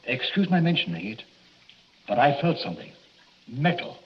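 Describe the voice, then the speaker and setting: An actor playing a polite but suspicious doctor in 1940s radio drama, formal and insistent, on a distant, muffled, noisy recording. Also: male voice, medium pitch, big pitch swings, normal speed, close to an everyday voice.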